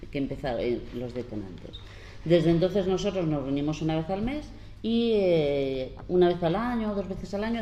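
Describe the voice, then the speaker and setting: A woman in her fifties from La Mancha gives an earnest, unhurried public talk as a panel speaker, pausing often as she explains. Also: sits low, dark tone. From La Mancha, Spain. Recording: roomy hall with faint background noise.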